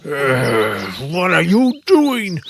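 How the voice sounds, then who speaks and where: Actor as haughty cartoon lion monarch, very slow and drawn-out, swooping pitch, grumbling and grand. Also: wildly swooping pitch, vocal fry, soft background music, full character voice. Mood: pained, irritated. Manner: imperious, groaning, drawn-out delivery. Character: grumpy, haughty lion king of the forest. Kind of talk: children's animated storybook voice acting.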